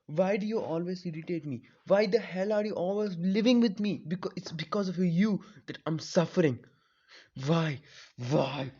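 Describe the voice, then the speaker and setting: A man voicing a tormented young male ninja, speaking in a raised, anguished, shouting voice. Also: mid-range voice, slow, husky, dull audio.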